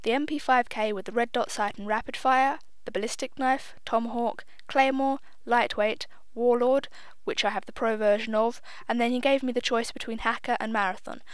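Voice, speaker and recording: A woman's voice: on the high side, steady tone, normal speed, few pauses, clear, resonant tone, no echo. A young woman giving casual, chatty gameplay commentary. She is self-deprecating, swears offhandedly and admits she sounds a little nervous. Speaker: gaming video host.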